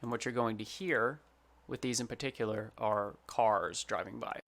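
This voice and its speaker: Bright-voiced man explaining, fairly low pitch. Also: moderate pace. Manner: explanatory, plain-spoken. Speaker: sound designer presenting his project. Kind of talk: informal tutorial explanation.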